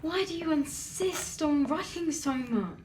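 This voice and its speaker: High-voiced, feisty, stubborn young woman. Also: expressive, measured pace, a little mellow, a little hiss or hum. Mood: skeptical. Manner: challenging, sarcastic. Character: feisty, stubborn, sarcastic young female video maker. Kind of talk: audio drama acting.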